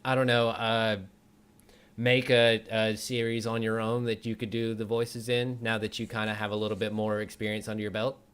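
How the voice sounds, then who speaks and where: A man's voice: fairly low pitch, completely flat delivery, normal speed, a bit bright, clean tone. Encouraging man hosting a casual interview. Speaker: interview show host.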